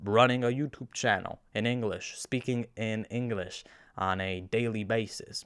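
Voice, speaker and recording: Low-voiced young man, chatty and wry. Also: clean tone, no room sound, clean studio sound. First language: Romanian. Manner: chatty, self-deprecating, with frequent restarts and fillers. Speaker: YouTuber. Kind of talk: casual talking-to-camera vlog.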